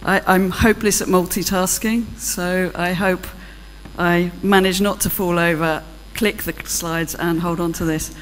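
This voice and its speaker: A woman's voice: fairly low pitch, fairly even intonation, measured pace. A British woman gives a chatty, self-deprecating keynote with a handheld mic. Her voice carries a long reverb from a large auditorium, with a faint hum underneath. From Britain. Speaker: keynote speaker. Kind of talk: conference keynote talk. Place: large auditorium stage with audience.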